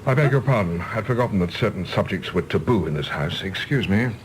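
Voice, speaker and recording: A man playing an earnest, prickly, idealistic middle-aged British gentleman in a radio drama, speaking formally and eloquently. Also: low-pitched, animated, brisk, a little hiss or hum, rough recording. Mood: offended.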